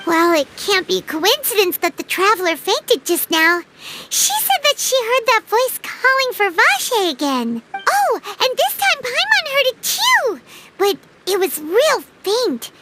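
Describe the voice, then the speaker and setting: An actress voicing a tiny, chatty female fairy companion in a video game, childlike and expressive. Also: extremely high pitch, measured pace, background noise, exaggerated voice. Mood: worried, puzzled. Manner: childlike, expressive, speaks of self in third person. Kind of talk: video game cutscene voice acting.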